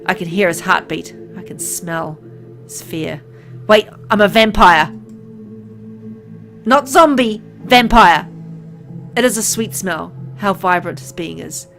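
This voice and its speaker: A woman's voice: on the low side, slow pace, gravelly, long pauses, fairly bright tone, exaggerated voice. A woman reading as a dying man turned vampire. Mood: hungry. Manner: reads first-person game text aloud, plainly. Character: gravely ill man turning into a hungry vampire. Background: game music.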